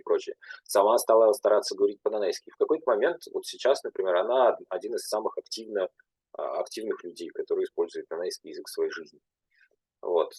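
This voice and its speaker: A young man, a language teacher, speaking at a panel in a halting way with many filler sounds and restarts. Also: deep voice, a little mellow, slightly rough, no room sound, rough recording. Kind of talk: panel talk.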